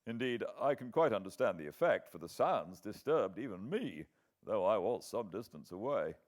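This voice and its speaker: A British man narrating a classic novel as an audiobook, with measured, expressive dramatic storytelling. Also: lowish voice. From Britain.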